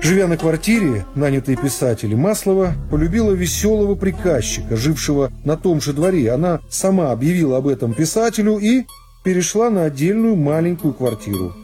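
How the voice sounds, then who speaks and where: A man reads literary narration for radio in a measured, deliberate storytelling manner. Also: a little high, very animated, dark tone, soft background music. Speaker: narrator of a radio reading of a classic novel.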